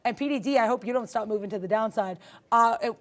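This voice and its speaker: A woman's voice: on the high side, fast. Playful woman calling live market charts. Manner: playful, laughs often, makes puns. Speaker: co-host of a live trading stream. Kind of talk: live market commentary, casual chat.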